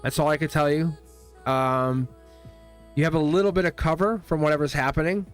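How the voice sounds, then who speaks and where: A man's voice: on the high side, steady tone, a little fast, smooth, soft background music. Chatty, laughing male tarot streamer. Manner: chatty, laughs often, teasing. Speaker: tarot reader. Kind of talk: live-stream tarot reading.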